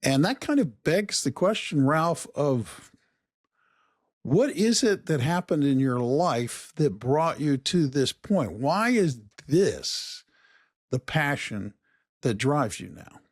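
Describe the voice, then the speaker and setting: A man's voice: a little high, sing-song, slow, dry recording, studio quality. A man interviewing a podcast guest in a friendly, chatty way, stumbling and restarting his sentences now and then.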